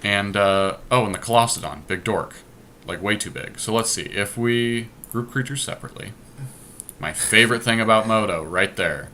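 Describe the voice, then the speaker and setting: A man's voice: lowish voice, moderate pace, bright, forceful tone, slight background noise. A man giving casual strategy commentary as a podcast host during a card game draft, deliberating aloud as he goes.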